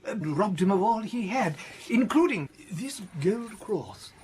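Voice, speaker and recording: An actor playing a nervous, eccentric British vicar in a radio drama, hesitant and apologetic. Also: male voice, high-pitched, animated, unhurried, background noise. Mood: storytelling. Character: fussy, nervous, short-sighted eccentric English vicar.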